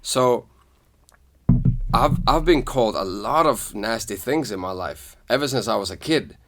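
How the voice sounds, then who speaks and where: A man's voice: fairly low pitch, measured pace, soft background music. A young man from Sweden hosting a podcast, talking earnestly and telling personal stories in casual conversation. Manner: earnest, storytelling, animated when quoting others. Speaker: podcast host.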